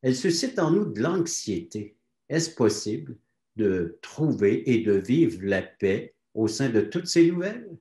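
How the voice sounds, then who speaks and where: A man's voice: mid-range voice, sing-song, measured pace, mellow. A man with a Quebec French accent hosting an interview show as its presenter. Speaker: host of a testimony show. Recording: roomy echo.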